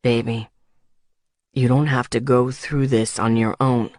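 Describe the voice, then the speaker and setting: Woman voicing a low, calm, gruff biker man. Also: measured pace, dry recording, clean studio sound. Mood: quiet, reassuring. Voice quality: low, put-on male voice. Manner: quiet, steady, blunt. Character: gruff, calm, protective adult male biker. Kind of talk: audiobook character dialogue.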